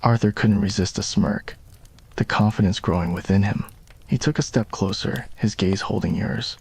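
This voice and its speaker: Low-voiced man narrating a romance. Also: moderate pace, gravelly, a little mellow, slightly rough. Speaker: narrator and roleplay performer. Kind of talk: scripted ASMR romance story narration.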